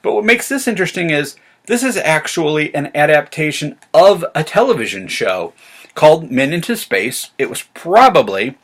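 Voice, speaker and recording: A man's voice: a little high, sing-song, normal speed, fairly bright tone. A man talking casually to viewers as a rambling, hesitant enthusiast who often corrects himself. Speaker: science fiction author and vlog host. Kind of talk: casual retro sci-fi talk to viewers.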